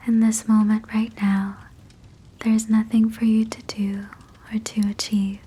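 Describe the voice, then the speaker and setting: A woman guiding a sleep meditation in a breathy whisper, soothing and gentle, with measured phrasing. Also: fairly high pitch, monotone. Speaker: sleep hypnosis guide.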